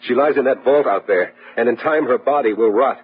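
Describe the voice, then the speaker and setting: An actor playing a tormented man consumed by fear and madness, halting and repeating himself in an old-time radio horror drama. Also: medium pitch, close to an everyday voice. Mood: obsessive dread. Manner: halting, repeating words in dread. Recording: muffled old radio recording, music behind.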